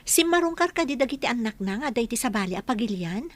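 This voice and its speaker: A woman's voice: fairly high pitch, animated, fast. A woman with an Ilocano accent playing a supportive, admiring young friend in a radio drama.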